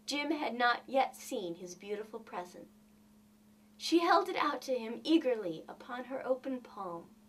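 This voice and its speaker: A woman reading a classic short story aloud with theatrical, deliberate emphasis. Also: fairly high pitch, expressive, poor audio. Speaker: reader of literature. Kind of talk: expressive audiobook narration of a classic short story.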